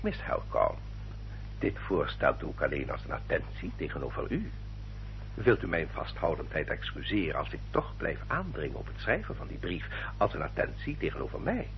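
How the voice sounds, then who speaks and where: A smooth, controlling baronet, deep in pitch and widely inflected. His manner is formal, courteous and insistent, as in an old radio play on a dull, noisy recording. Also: exaggerated voice. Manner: formal, suave, measured and insistent. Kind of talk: Dutch radio play acting.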